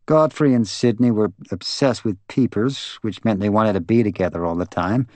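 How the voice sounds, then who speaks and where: A man's voice: fairly low pitch, moderate pace, darkish tone, no room sound, close to an everyday voice. A man voicing a blunt, resentful older woman in an audiobook, scornful and sullen. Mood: sullen, defiant. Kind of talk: dramatised audiobook dialogue.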